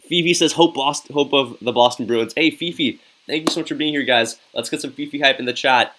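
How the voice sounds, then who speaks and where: A man's voice: medium pitch, a little fast, fairly bright tone. A young man hosting a casual live stream, reading viewer comments aloud in an upbeat, chatty way and often tossing in "yo". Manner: upbeat and chatty, says "yo" a lot, hypes up viewers. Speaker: live-stream host reacting to a hockey game.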